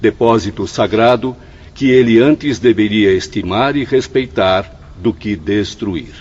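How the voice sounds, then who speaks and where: A grave professor in a radio drama. His voice is fairly low and husky, with formal, sententious delivery on a slightly dull recording. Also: a little slow, a little mellow. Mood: grave, admonishing. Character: grave, courteous male professor in the spirit world. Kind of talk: solemn radio drama recitation.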